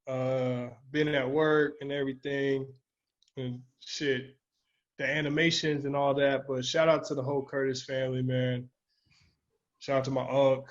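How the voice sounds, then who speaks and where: A man's voice: mid-range voice, flat delivery, a little slow. A man co-hosting a podcast in casual, slangy talk with free swearing, on dull lo-fi audio. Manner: informal and slangy, swears freely. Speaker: podcast co-host.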